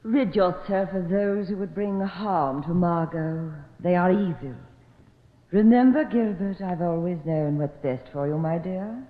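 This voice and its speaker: An actress playing a mournful, commanding spirit medium in old radio drama style, with a slow, wailing, solemn delivery. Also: female voice, lowish voice, close to an everyday voice. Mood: mournful, insistent. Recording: muffled old radio, long hall reverb.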